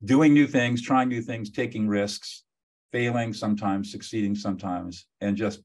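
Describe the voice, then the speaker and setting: A man's voice: on the low side, steady tone, normal speed, a little mellow. A man in his seventies hosting an interview podcast, speaking emphatically and encouragingly. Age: 70s. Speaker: podcast host. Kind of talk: interview conversation.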